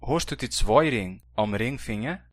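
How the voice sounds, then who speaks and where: A man's voice: mid-range voice, animated, measured pace, dry recording, studio quality. A man with a Brazilian Hunsrik German accent, reading the student part of a scripted textbook dialogue in a plain, questioning manner. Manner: plain, questioning learner's delivery. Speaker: language course reader.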